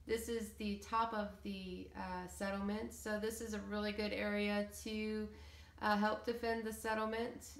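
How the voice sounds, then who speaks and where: A woman's voice: medium pitch, flat delivery, a little slow, vocal fry. A woman giving a casual, hesitant, meandering walkthrough commentary of a game build. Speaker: video creator showing game settlement builds. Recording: roomy, echoey room sound.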